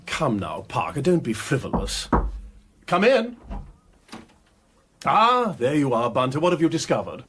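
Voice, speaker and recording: An actor playing a flippant, breezy aristocratic amateur sleuth in a radio drama, with an upper-class English accent. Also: male voice, mid-range voice, huge pitch swings, a little slow, pauses often, noisy background. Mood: brisk, teasing.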